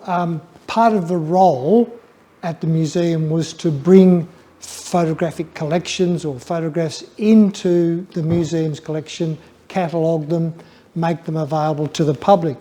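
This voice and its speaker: Hesitant Australian man, mellow higher-pitched voice. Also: takes it slowly. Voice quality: flat, dull voice. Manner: hesitant, stammering over word starts, reflective. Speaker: guest speaker, former museum photography curator. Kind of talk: informal illustrated public talk.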